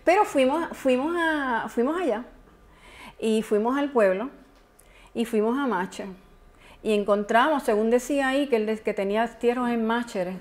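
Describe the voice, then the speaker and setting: A woman's voice: on the high side, normal speed. A woman from Puerto Rico with a Puerto Rican accent, presenting a genealogy talk at a conference in an informal, explanatory way with chatty asides. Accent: Puerto Rican Spanish. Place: conference hall.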